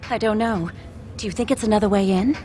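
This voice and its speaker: A woman's voice: mid-range voice, quick pace, warm tone, faint music behind, lightly acted. An actress playing an earnest, anxious young woman conspirator in a fantasy video game, speaking with urgency. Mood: grim, determined.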